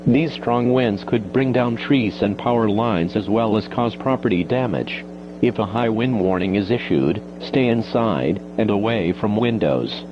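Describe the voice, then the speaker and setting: A man's synthetic-sounding voice reads a scripted emergency weather bulletin in a formal, measured way, like an automated announcer. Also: fairly low pitch. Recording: muffled noisy weather-radio broadcast with hum.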